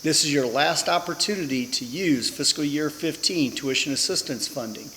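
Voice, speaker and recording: A man's voice: fairly high pitch, normal speed, sharp tone, big-room sound, slight background noise, low-quality recording. A man co-hosting a military base show, reading announcements with upbeat, joking asides. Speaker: senior enlisted co-host.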